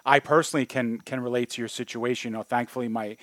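A man's voice: mid-range voice, fairly even intonation, fast talker, fairly bright tone, no room sound. New man hosting an interview. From United States (Brooklyn). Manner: friendly, uses "you know" often. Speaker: podcast co-host. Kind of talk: casual interview.

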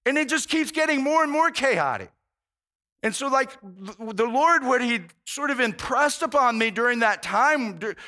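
Guy preaching, chatty and earnest, keeps restarting and saying man, pretty high bright voice. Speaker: pastor. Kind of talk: conversational sermon.

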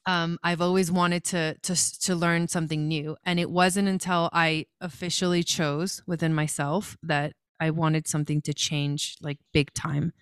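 A young woman speaks in a smooth, resonant voice that sits a little low. She tells her story casually and reflectively, wandering from thought to thought. The sound is very dry and close, with no room around it. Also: level tone, moderate pace, hardly any pauses, dry recording. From Los Angeles area, California. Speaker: guest.

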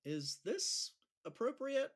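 A man playing an awkward, easily embarrassed high school gym teacher in an audio drama, hesitant and over-explaining. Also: big pitch swings, takes it slowly, full character voice. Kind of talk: naturalistic audio drama acting.

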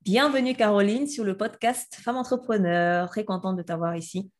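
A woman's voice: medium pitch, normal speed, soft background music. A woman hosting a podcast, talking in a friendly, chatty way and often repeating words while she looks for the next one. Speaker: podcast host. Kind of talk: casual podcast conversation.

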